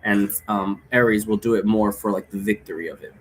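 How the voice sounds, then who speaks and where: A man's voice: on the low side, fairly even intonation, fairly quick. A man in his thirties giving a casual, unscripted explanation of game rules in a rambling, informal way, often trailing off and circling back. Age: 30s. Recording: noticeable background noise.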